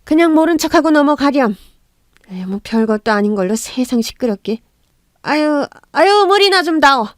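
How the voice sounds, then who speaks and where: A shrieking, scornful older mother-in-law who sneers and hurls insults. Her voice rides high and sharp, put on by a woman. The sound is close and dry. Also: expressive, normal speed, dry recording. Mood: condescending.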